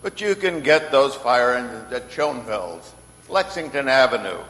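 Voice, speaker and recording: A man's voice: mid-range voice, very animated, measured pace, fairly bright tone. A man playing a kindly, earnest old Santa Claus in an old-time radio play. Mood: earnest, reassuring. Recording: echoey hall, faint noise.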